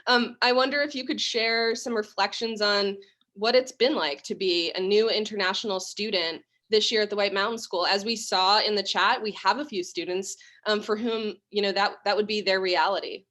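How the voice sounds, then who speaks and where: A woman's voice: medium pitch, steady tone, normal speed, a bit bright. A woman hosting a virtual school open house over a video call, laying out each step of the event plainly and moderating a panel. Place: home or office on a video call.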